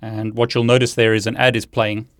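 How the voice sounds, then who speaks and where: Male tech instructor, slightly low voice, very dry close recording, explanatory step-by-step demo delivery. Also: level tone, fairly quick, a bit bright, dry recording. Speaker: tech video host and instructor. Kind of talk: hands-on demonstration talk.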